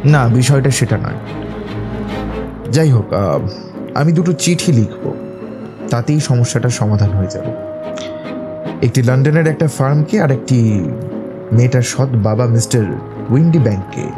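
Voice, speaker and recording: A man's voice: mid-range voice, expressive, measured pace, pauses often, close to an everyday voice. A man playing a self-assured, analytical detective in an audio drama, explaining things in an assured, instructive way. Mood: decisive. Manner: assured, didactic, explaining deductions step by step. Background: prominent background music.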